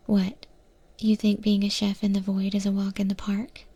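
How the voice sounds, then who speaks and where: A woman voicing a wry, easygoing eldritch monster chef in a breathy, whispered ASMR roleplay, speaking with hesitant, thoughtful pauses. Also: mid-range voice, warm tone. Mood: wry, amused. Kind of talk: ASMR roleplay, softly spoken to the listener.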